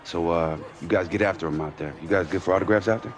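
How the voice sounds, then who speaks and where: A man's voice: low voice, fast talker, close to an everyday voice. A man playing a polite, humble young quarterback in a game cutscene, talking casually and a little hesitantly. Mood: friendly. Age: young adult. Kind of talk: naturalistic game cutscene acting. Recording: roomy echo, music under the voice.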